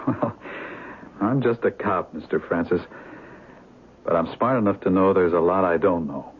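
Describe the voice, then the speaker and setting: Deep-voiced, shrewd police detective. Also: male voice, measured pace. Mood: wary, probing. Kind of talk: 1970s radio drama acting. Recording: muffled, hissy 1970s radio broadcast.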